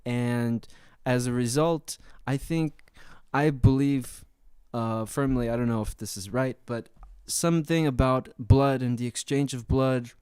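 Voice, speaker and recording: A young man from Sweden, a jazz pianist, answering interview questions in relaxed, chatty storytelling full of fillers. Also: medium pitch, a little slow, few pauses, smooth, no echo.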